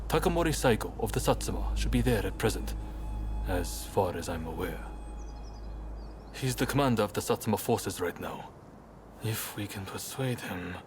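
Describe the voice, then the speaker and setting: A man playing an earnest, courteous samurai leader with high ideals in a video game cutscene, speaking formally. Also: close to an everyday voice. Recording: clear background ambience noise.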